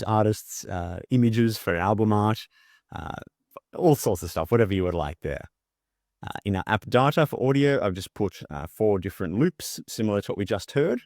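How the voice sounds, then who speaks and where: A man presenting a software tutorial as a casual screen-share walkthrough, talking informally and thinking aloud as he demonstrates. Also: lowish voice, no room sound, studio quality.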